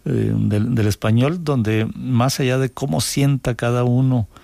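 A man from northern Mexico with a Mexican accent, a writer answering interview questions thoughtfully, pausing and restarting often. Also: medium pitch, fairly even intonation, creaky, dark tone, no echo. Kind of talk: conversational interview.

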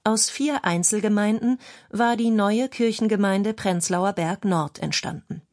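A woman's voice: medium pitch, unhurried, darkish tone. A woman reads a scripted documentary narration as a radio feature narrator.

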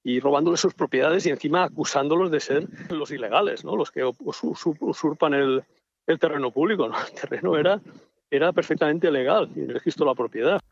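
A man's voice: on the high side, fairly quick, creaky, dry recording. An indignant man with an accent from Spain, complaining emphatically and spontaneously in an interview as a spokesman. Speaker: residents' association spokesman. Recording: dull, muffled interview audio.

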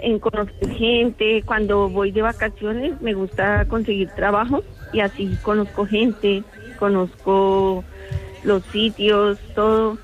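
A woman's voice: mid-range voice, steady tone, slow pace, narrow, tinny audio. A woman from Colombia phones in to a radio program, telling her stories in a chatty way. Her voice comes over a call line with faint music underneath. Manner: chatty, storytelling. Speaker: radio caller. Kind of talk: phone-in chat.

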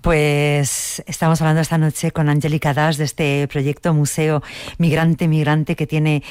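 A woman hosting a radio interview, asking measured questions and often restarting her phrases. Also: low voice, flat delivery, darkish tone, smooth, no room sound. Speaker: radio host.

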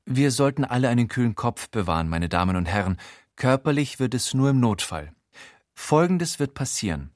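A man's voice: mid-range voice, very animated, normal speed, darkish tone, no room sound, studio quality, close to an everyday voice. A man voicing a level-headed crook in an audiobook, formal, orderly and businesslike. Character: level-headed, formal male negotiator among kidnappers.

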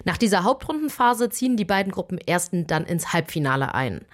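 A woman sports correspondent reading a scripted radio report in a clear, explanatory way. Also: lowish voice, sing-song, a little fast.

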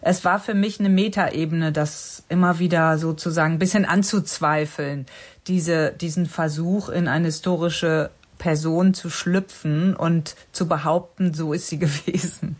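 A woman's voice: low-pitched, steady tone, measured pace. A woman filmmaker answering interview questions about her work, thoughtful and earnest, sometimes searching for words.

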